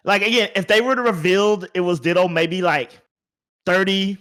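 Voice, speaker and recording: A man's voice: high-pitched, fairly even intonation, normal speed, sharp tone. A man hosting a podcast in casual, opinionated chat, speaking emphatically and repeating words for emphasis. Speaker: podcast host.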